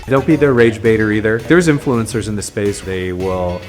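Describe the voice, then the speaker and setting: A man's voice: fairly low pitch, moderate pace, music in the background. A man hosting a podcast, talking in an upbeat, conversational way. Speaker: podcast host. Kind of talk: casual interview chat.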